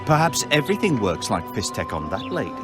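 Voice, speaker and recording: A wheedling, evasive shopkeeper in a fantasy video game. His medium voice jumps around excitably and defensively, with music clearly playing behind him. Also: on the low side, quick pace. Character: shifty, defensive male incense shopkeeper.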